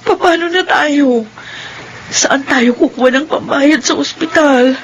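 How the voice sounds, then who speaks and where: A woman's voice: sits high, slow pace, highs cut off, slight background noise. A woman with a Filipino accent playing a desperate, impoverished mother who pleads tearfully in a radio drama.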